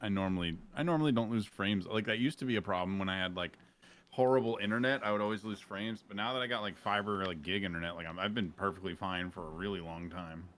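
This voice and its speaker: A man's voice: deep voice, quick pace, a bit bright, slight background noise. A man chatting casually as a streamer guest, in loose, slangy, sweary talk. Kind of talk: casual chat.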